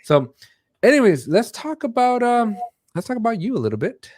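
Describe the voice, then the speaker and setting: A man's voice: extremely high pitch, very animated, normal speed, darkish tone. Man hosting a casual chat. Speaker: host.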